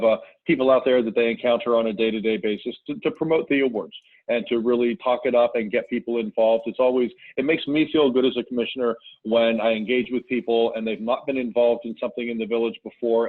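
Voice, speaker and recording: A man serving as a village commissioner, speaking quickly and encouragingly at a council meeting. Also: mid-range voice, no echo. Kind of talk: municipal meeting remarks. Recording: tinny phone-like line.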